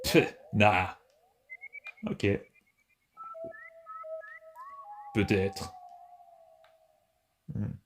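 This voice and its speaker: A man voicing a brash, tough teenage monster girl with a soft side in an amateur video game comic dub, gruff and offhand. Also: on the low side, steady tone, extremely slow, a little hiss or hum. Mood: awkward, gruff.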